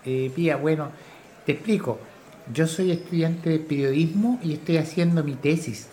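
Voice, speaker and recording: A man plays a polite young Chilean journalism student in a radio play. His voice is soft-edged and mellow, his manner earnest. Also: on the high side, normal speed, a little hiss or hum, natural voice. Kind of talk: natural radio drama dialogue.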